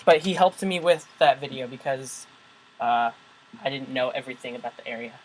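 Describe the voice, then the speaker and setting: A man's voice: mid-range voice, brisk, slight background noise, rough recording. A man who makes videos, hosting a casual chat, talking hesitantly and searching for his words. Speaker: video maker, host. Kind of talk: casual conversation.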